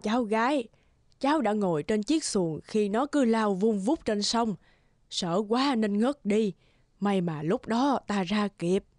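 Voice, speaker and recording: A woman voicing a kindly elderly village woman in a children's cartoon, gentle and coaxing. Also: medium pitch, animated, a little slow, dry recording, clean studio sound, close to an everyday voice.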